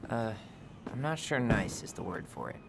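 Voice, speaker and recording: A man's voice: medium pitch, lively intonation, moderate pace, gravelly, background noise. An actor playing a guarded, wry young man in a naturalistic video game performance. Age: young adult.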